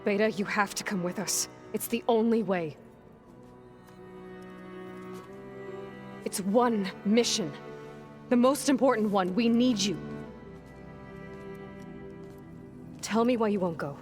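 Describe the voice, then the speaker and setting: A woman's voice: medium pitch, moderate pace, darkish tone, soft background music, natural voice. A woman voicing a determined young female warrior in a video game, wry and plain-spoken. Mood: earnest, urging. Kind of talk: scripted video game dialogue.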